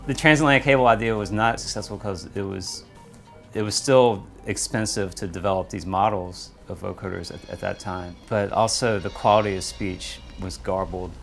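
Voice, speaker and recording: A man with a low voice gives explanatory history commentary in a documentary interview. Music plays clearly behind him. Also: steady tone, normal speed, a bit bright.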